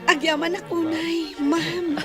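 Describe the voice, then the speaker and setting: A woman's voice: very high voice, little pitch movement, takes it slowly, music in the background. A woman acting a sweet, grateful young graduate in an Ilocano radio drama, with an Ilocano accent. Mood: grateful, happy.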